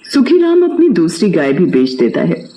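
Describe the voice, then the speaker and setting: A man's voice: high voice, wildly swooping pitch, normal speed, dark tone. A man expressively narrating a scripted children's story, with a roomy echo on his voice.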